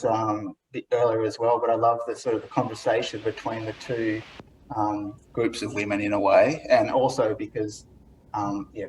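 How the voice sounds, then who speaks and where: A man's voice: fairly low pitch, low-quality recording. Easygoing man hosting a gallery talk. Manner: easygoing and encouraging, with frequent fillers. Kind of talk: relaxed interview conversation.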